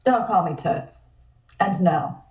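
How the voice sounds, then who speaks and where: Man playing a high-voiced, sharp-tongued 1920s dame. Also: slow. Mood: curt. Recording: dull, roomy, distant microphone.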